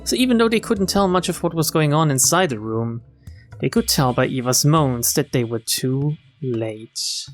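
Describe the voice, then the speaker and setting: A man's voice: fairly high pitch, expressive, moderate pace. A man streaming a visual novel, reading the text aloud and adding wry, casual asides. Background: game music.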